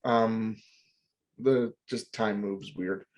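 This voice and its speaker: A man's voice: fairly low pitch, fairly even intonation, very slow. A young man co-hosting a casual chat, explaining at length with many fillers and hedges. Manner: explanatory, hedging, lots of fillers. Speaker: podcast co-host.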